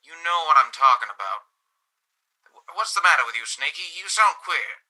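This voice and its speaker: An actor playing a pushy, menacingly familiar gangster in a detective radio play. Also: male voice, fairly high pitch, very animated, normal speed, sharp tone, exaggerated voice, poor audio. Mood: pushy, suspicious.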